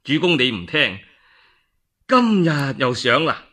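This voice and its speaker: A man voicing a calm, shrewd military strategist in Cantonese, measured and assured. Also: mid-range voice, breathy edge, exaggerated voice. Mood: calm, reassuring.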